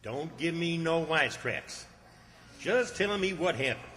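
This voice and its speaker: A man's voice: a little high, lively intonation, measured pace, bright, forceful tone. A man acting a bossy, exasperated country fellow, scolding and commanding in an old-time radio comedy. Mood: suspicious. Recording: hall reverb, distant stage sound.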